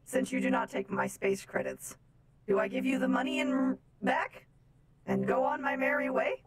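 Naive female robot alien, woman performer, game roleplay; high, wildly melodic, stiffly formal and literal. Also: wildly swooping pitch, moderate pace, rough recording. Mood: earnest bargaining. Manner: stilted, literal, formal phrasing. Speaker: streamer playing a roleplay game.

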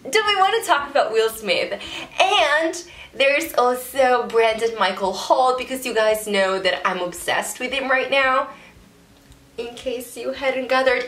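A young woman in her twenties, a native Italian speaker with an Italian accent, chatting casually as she answers viewer questions in a vlog. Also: medium pitch. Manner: chatty, animated, gestures while talking. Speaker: vlogger. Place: small bedroom, indoors. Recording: roomy bedroom echo.

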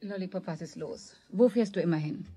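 A woman's voice: on the low side, brisk, dark tone, slightly rough. An actress playing a worried, probing mother, reproachful and pleading, in a children's radio play. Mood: insistent. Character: worried, probing mother of two, office worker.

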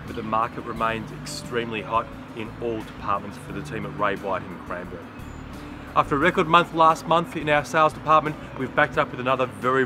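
A middle-aged man with an Australian accent giving an upbeat, promotional monthly market update to camera outdoors, speaking emphatically. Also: mid-range voice. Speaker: real estate agency principal giving a market update. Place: outdoors by a garden bed under an umbrella. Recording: music playing under the voice. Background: background music.

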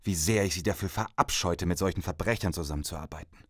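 An actor playing a grim, disillusioned soldier and survivor in an audio drama, brooding and serious. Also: male voice, sits low, quick pace, no echo, clean studio sound. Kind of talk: audio drama narration and dialogue.

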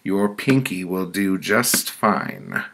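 A merciless woman judge from a dark story, played by a male narrator, hands down her sentences in a commanding manner. The voice is pushed up in pitch and keeps a gravelly edge. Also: huge pitch swings, takes it slowly, a little hiss or hum, exaggerated voice. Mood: stern. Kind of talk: storytelling character voice.

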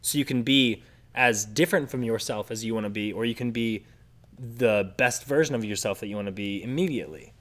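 A young adult man talking casually as a podcast host, animated and often acting out imagined lines. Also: mid-range voice, a little fast. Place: indoor room, seated group. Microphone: shared desktop microphone.

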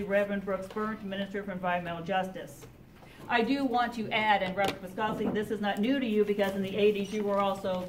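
A middle-aged woman, a chaplain and activist, giving emphatic, deliberate public testimony at a meeting. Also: medium pitch. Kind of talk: public testimony, reading a statement and appealing. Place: public library meeting room, indoors. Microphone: camera a few metres away. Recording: echoey meeting room, distant camera, faint noise.

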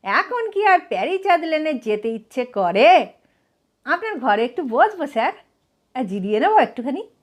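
Woman playing a fussy, high-voiced absent-minded male burglar. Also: very animated, normal speed. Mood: weary, complaining. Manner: polite, complaining, exclamatory. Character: short absent-minded male burglar, apologetic yet indignant and chatty.

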